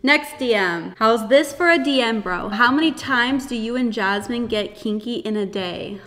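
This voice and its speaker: A woman's voice: on the high side, normal speed, a bit bright, soft background music. A woman in her twenties chatting casually and reading messages aloud as a vlog co-host. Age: 20s. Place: indoor kitchen bar area at home. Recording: reverberant room.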